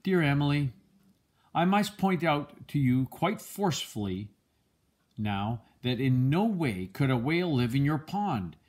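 An older man with a husky voice reads a children's picture book aloud in a gentle, measured storytelling manner. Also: mid-range voice, big pitch swings. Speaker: storybook reader, a grandfather.

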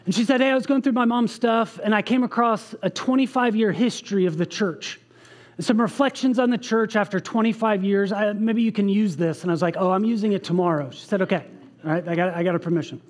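A man's voice: high voice, fast talker. A man in his thirties, a pastor preaching on a church stage, earnestly reading aloud with asides. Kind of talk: sermon. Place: church stage with band behind.

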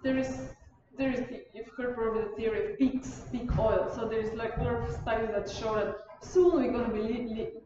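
A young woman from Bulgaria giving an informal lecture in a halting, rephrasing, digressive way. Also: on the high side. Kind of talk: informal lecture and discussion of questions. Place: university lecture room. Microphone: far camera. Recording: distant mic, muffled, echoey room, loud noise.

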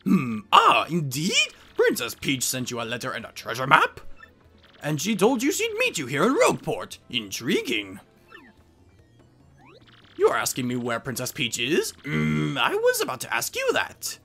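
Fussy, swooping old Toad steward. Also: male voice, on the high side, wildly swooping pitch, unhurried, heavily acted. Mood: jovial, curious, then fretful. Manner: pompous old British gentleman phrasing, huffing. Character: fussy elderly male Toad steward, genteel, fretful. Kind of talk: video game dialogue voice acting. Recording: game music behind the voice.

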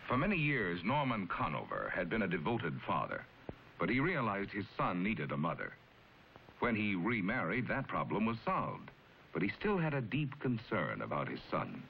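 Courteous low-voiced secretary, old TV sound. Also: male voice, wildly swooping pitch, normal speed, vocal fry, a little hiss or hum, exaggerated voice. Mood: measured narration. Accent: American. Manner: polite, formal. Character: courteous, composed male secretary of a reclusive tycoon. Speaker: narrator and executive secretary. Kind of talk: 1950s television drama acting and narration. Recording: muffled 1950s television soundtrack with music.

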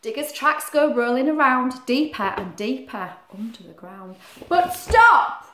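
A woman's voice: sits high, animated, unhurried, pushed and bright. A middle-aged woman reading a picture book aloud to children expressively, with chatty questions and asides, in a living room. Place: small living room, indoors. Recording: roomy echo of a living room.

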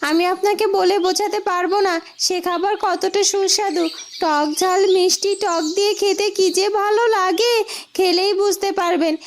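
A woman's voice: very high voice, fairly even intonation, moderate pace, a little hiss or hum. A woman voicing an eager young village girl who runs a food stall in a fairy-tale cartoon. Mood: eager, chirpy.

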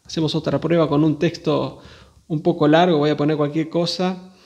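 A man's voice: on the high side, little pitch movement, moderate pace, darkish tone. A man presenting a casual hands-on tech demonstration, talking informally and directly to viewers. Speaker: video host demonstrating radio gear.

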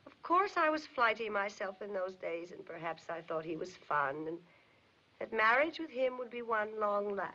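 An actress playing a frank, probing, worldly wife in a 1950s film drama, speaking in a deliberate, articulate, pointed way. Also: female voice, mid-range voice, very animated, bright, forceful tone. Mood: bitter. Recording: dull, lo-fi 1950s film soundtrack.

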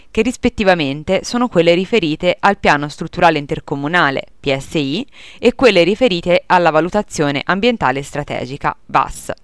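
Female tutorial narrator, formal scripted read, lowish and lively intonation, dry close-mic sound. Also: clean tone, no room sound. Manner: formal, procedural.

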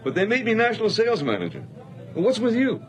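A man's voice: sits high, wildly swooping pitch, fast. An actor playing a talkative, effusive salesman and old friend in a radio drama. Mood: hearty, excited. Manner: effusive, chatty. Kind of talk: 1980s radio drama acting.

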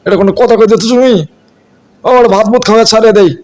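A man's voice: high-pitched, fairly even intonation, racing, close to an everyday voice, poor audio. A man with a colloquial Dhaka accent voicing a brash young student sidekick in a comedy cartoon, blunt and scolding in street talk. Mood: doubtful, protesting. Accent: Dhaka colloquial Bangladeshi.

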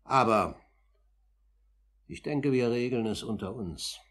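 An actor playing a composed older uncle in a 1950s German radio play, speaking formally and deliberately with firm, accusing emphasis in a husky voice. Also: male voice, medium pitch, a bit bright. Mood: firm, commanding.

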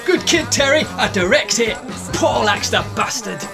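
An actor playing a brash, reckless young troublemaker on a night out in a radio play. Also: male voice, extremely high pitch, lively intonation, normal speed, vocal fry, music in the background, full character voice. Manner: brash, blunt.

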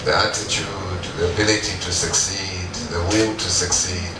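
A middle-aged man, an entrepreneur, giving an earnest, emphatic stage talk with pauses and restarts on a headset mic. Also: low-pitched, fairly even intonation, over music. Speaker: entrepreneur guest speaker. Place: event stage with audience. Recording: echoey room, distant, background noise.

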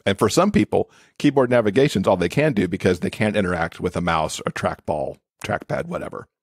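A man's voice: deep voice, a little fast, slightly rough, dry recording, studio quality. A man being interviewed as an expert guest, explaining things conversationally with everyday examples. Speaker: podcast guest, accessibility expert and author.

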